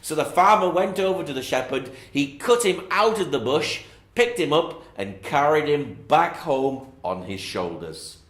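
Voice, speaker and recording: A man's voice: on the high side, lively intonation, a little slow, a bit bright, echoey room. A man narrating a children's Bible bedtime story, with repetitive, sing-song storytelling phrasing.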